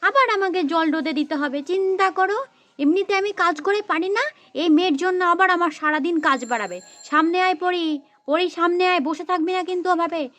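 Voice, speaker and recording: A high female voice as a woman acts out an exasperated mother in a homemade children's story. She nags and threatens, repeating her complaints about a naughty little daughter. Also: a little fast, a bit bright, no room sound. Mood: angry, nagging. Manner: nagging, repetitive, threatening. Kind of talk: amateur children's play-acting story.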